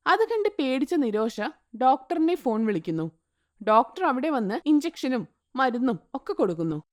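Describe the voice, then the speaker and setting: A woman narrating an animated moral story in a scripted storybook style. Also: high voice. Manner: even, storytelling tone.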